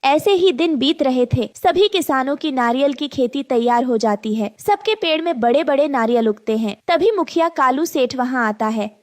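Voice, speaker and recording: A woman's voice: high voice, animated, moderate pace, hardly any pauses, a little mellow, dry recording, studio quality. A woman reading scripted narration for a Hindi cartoon story.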